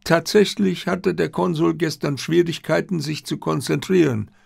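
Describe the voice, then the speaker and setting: Storybook old army general, acted by a male reader: proud, formal, stiff, pitched up, very dry sound. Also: moderate pace, vocal fry, dry recording.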